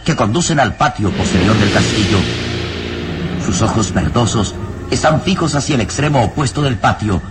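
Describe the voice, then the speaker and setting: A man's voice: medium pitch, expressive, normal speed, loud music behind the voice. A man narrating an old radio serial in a solemn, literary, dramatic storytelling style.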